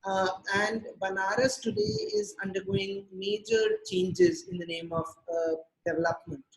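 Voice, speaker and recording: High-voiced man, thoughtful and hesitant. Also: lively intonation, vocal fry, rough recording. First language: Urdu. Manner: measured, many filler pauses. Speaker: translator and poet, interview guest. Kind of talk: thoughtful interview answers. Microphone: video call.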